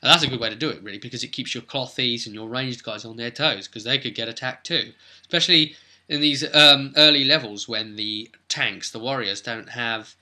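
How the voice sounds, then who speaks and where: A man with a very bright, forceful voice talks as a game master on his vidcast. He recaps a tabletop role-playing session in a casual, explanatory way, leaning hard on his points and addressing the viewer directly. His pitch sits in an ordinary middle range for a man. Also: a little fast.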